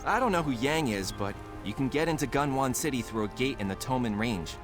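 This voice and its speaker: An actor playing an eager, insecure young guard leader in a video game, earnest and anxious to help. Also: male voice, medium pitch, lively intonation, fairly quick, music in the background, close to an everyday voice. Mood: helpful, urgent. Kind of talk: dramatic game cutscene acting.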